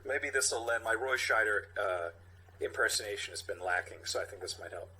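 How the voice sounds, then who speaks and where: A man co-hosting a comedy podcast, riffing loosely and haltingly as he reads out material. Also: lowish voice, bright, forceful tone, slight background noise, poor audio. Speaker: podcast co-host. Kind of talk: loose comedic podcast banter and script reading.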